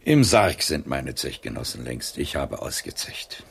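Proud old aristocrat in a radio play, husky, slow, bitter and world weary. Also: male voice, a bass voice, very animated, heavily acted. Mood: weary, elegiac. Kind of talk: radio drama acting.

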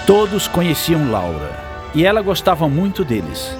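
Give me an animated, high-pitched male narrator. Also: moderate pace, music in the background. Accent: Brazilian. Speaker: radio drama narrator. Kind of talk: expressive scripted storytelling.

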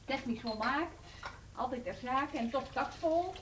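Quiet, hesitant, high-voiced tired woman, slightly distant. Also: lively intonation, measured pace. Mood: quiet, reflective. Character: tired, earnest woman, evasive with her partner. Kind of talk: intimate Dutch radio play acting. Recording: slightly distant, faint noise and clicks.

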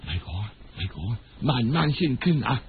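A man voicing an excitable, furtive peasant husband in a told story, repeating his exclamations. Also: medium pitch, moderate pace, gravelly, a little hiss or hum, voice pushed down. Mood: furtive, hushing. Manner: exclaiming, hushing, repetitive. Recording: muffled, phone-like old radio recording.

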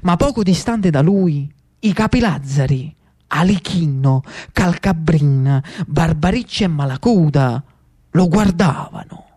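A man's voice: a little high, expressive, a little slow, a little mellow. A man with a Neapolitan accent narrating a theatrical monologue as an actor-storyteller, dramatic and ironic, slipping into dialect.

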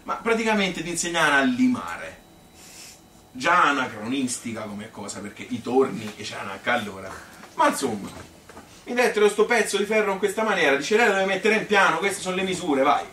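A man's voice: on the high side, sing-song, fairly quick, bright, forceful tone. An actor playing a sociable, wry Tuscan man telling rambling, colloquial anecdotes about his own life in a theatrical monologue. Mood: wry, bemused. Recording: roomy, distant mic with faint noise.

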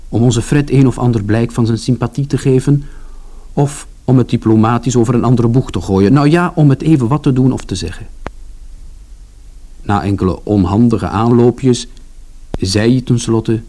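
An actor playing a wry brother who narrates a family tale in a radio drama, in a measured storytelling manner. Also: male voice, medium pitch, mellow, natural voice. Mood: wry, reflective.

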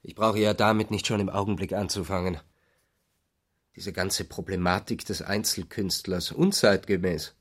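An actor playing a brooding, self-justifying husband and blocked artist in a radio drama, earnest and pontificating. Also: male voice, low-pitched, fairly even intonation, normal speed, husky. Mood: earnest self-justification.

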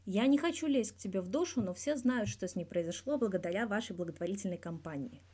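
A woman's voice: medium pitch, expressive, fast talker, darkish tone, slightly rough. A woman voicing a persistent male journalist in an audiobook, putting direct, pressing questions. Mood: probing. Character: persistent, probing adult male journalist interviewer.

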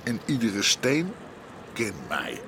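An actor playing a proud, distraught old underworld boss, muttering and repeating himself in a radio drama. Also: male voice, mid-range voice, huge pitch swings, slow pace, noisy background. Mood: dazed, brooding.

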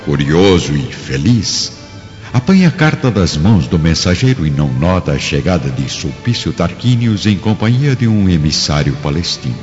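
A man with a Brazilian accent narrates a radio drama dramatically. His low voice rises and falls widely, bathed in a long reverb over prominent music. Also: very animated, normal speed. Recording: muffled, long reverb, loud music behind.

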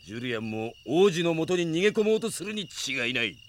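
A male actor playing a rough, ruthless bandit chief in a fantasy audio drama, taunting and coarse, with a gravelly voice. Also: a little high, big pitch swings, fast talker, heavy background noise. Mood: scheming menace. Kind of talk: Japanese fantasy radio drama acting. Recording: dramatic music behind the voice.

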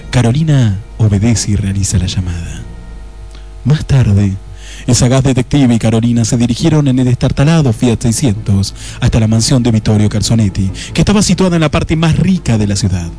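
Radio play narrator: man, lowish voice, mock-dramatic scripted delivery, music bed underneath. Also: normal speed, dark tone.

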